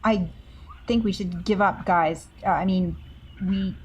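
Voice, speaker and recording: A woman's voice: lowish voice, steady tone, a little slow, dull audio, slight background noise. A woman playing a tabletop game, speaking hesitantly and placatingly in character. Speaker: player.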